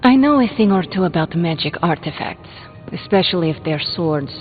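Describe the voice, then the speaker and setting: An actress playing a cocky, playful young sorceress in a video game, casual and teasing. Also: female voice, fairly low pitch, lively intonation, moderate pace, phone-quality sound, soft background music, lightly acted. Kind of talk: scripted video game dialogue.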